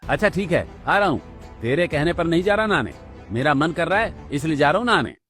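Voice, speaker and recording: A man's voice: fairly high pitch, big pitch swings, brisk, fairly bright tone, soft background music, pitched above the performer's own voice. A man voicing a rude, impatient, self-important young businessman brother in a cartoon story, curt and dismissive. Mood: irritated. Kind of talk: Hindi cartoon story dialogue.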